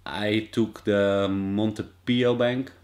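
Brief: thirties Dutch vlogger, low-pitched, hesitant, filler-heavy explainer, filmed in a small office on a desk camera. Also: male voice, fairly even intonation, takes it slowly, darkish tone. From Netherlands. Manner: hesitant, many fillers and restarts. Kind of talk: casual informative vlog. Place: small indoor office with whiteboard.

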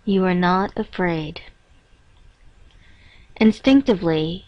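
A woman reading a scripted guided meditation in a measured, instructing manner with pauses between phrases. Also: fairly low pitch. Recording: dull, roomy echoing lo-fi recording.

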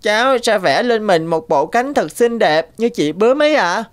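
A woman's voice: mid-range voice, animated, normal speed, lightly acted. A woman voicing a naive, eager young stick insect in a cartoon fable, childlike and earnest. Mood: eager, pleading. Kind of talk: animated fable dialogue. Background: prominent background music.

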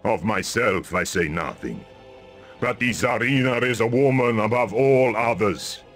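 A man playing a solemn old ice mage, speaking gravely and formally in a fantasy video game. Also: fairly low pitch, animated, unhurried, a bit bright, soft background music.

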